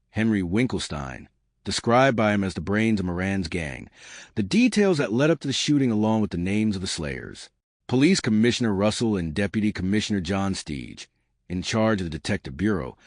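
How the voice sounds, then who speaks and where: A man's voice: lowish voice, no room sound, studio quality. A man reading old newspaper reports aloud as scripted news narration.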